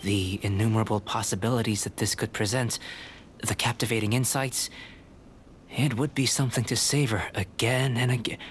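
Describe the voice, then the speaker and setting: A man playing a calm, cerebral young alchemist in a video game, speaking in a measured, articulate, scholarly way. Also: medium pitch, a little hiss or hum, lightly acted. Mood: pleased, analytical.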